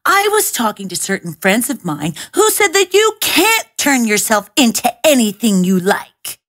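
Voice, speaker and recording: A woman's voice: on the high side, sing-song, a little slow, a bit bright, exaggerated voice. A woman voicing a crafty, confident, persuasive talking cat in a storybook tale. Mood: sly flattery. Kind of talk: storybook character voice.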